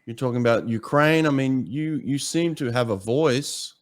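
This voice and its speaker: A man's voice: medium pitch, moderate pace. Man asking interview questions. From Australia. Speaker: podcast host. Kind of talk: interview conversation.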